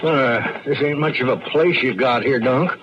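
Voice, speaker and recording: A male actor playing a gruff, ornery but soft-streaked old frontier farmer with a folksy American rural Western drawl, in an old-time radio Western. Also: mid-range voice, normal speed, close to an everyday voice. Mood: grumbling. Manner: folksy, grumbling, blustering. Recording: muffled, faintly noisy vintage radio recording.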